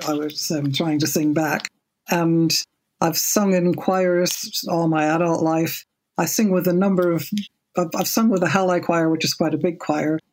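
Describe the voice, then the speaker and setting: A woman in her seventies with a low speaking voice, chatting as a podcast guest in a measured, thoughtful way, often repeating a word as she restarts. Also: a little mellow. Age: 70s. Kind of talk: casual podcast interview.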